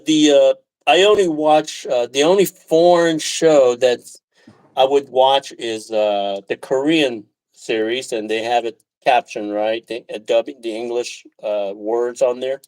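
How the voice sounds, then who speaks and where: A man from Taiwan hosting a casual live chat, talking in a halting, rambling way with frequent throat clearing. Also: mid-range voice, a little mellow, poor audio. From Taiwan, left as a little boy. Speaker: live stream host.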